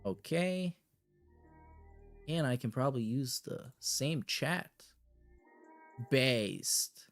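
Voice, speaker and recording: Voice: medium pitch, expressive, very slow, creaky, pauses often, faint music behind. A livestream host chatting casually and reacting in a blunt, heavily profane way.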